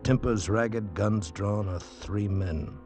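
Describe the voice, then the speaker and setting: A man's voice: lowish voice, takes it slowly. A man playing a dramatic narrator who draws the listener in, in 1940s radio teaser style. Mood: ominous. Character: ominous narrator drawing listener into danger. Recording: old radio broadcast, music behind.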